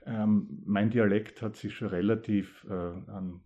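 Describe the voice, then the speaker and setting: Low, mellow East Styrian man. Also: steady tone, highs cut off. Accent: East Styrian Austrian German. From East Styria, Austria. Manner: thoughtful, with pauses and hesitations. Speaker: poet. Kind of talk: dialect poetry reading and talk about it.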